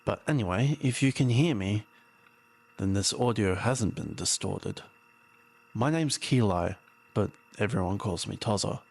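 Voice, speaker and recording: A man's voice: fairly low pitch, a little slow, gravelly, a little mellow, slightly rough, heavily acted. A man playing a haunted, paranoid conspiracy theorist, rambling uneasily and confidingly in an audio drama taped diary. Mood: uneasy, defensive.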